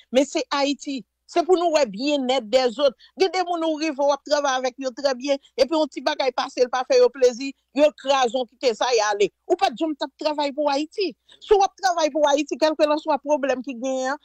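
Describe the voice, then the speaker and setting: A woman's voice: sits high, moderate pace, hardly any pauses, no room sound. A woman with a Haitian accent speaking emphatically and passionately in a political discussion, switching between French, Creole and English.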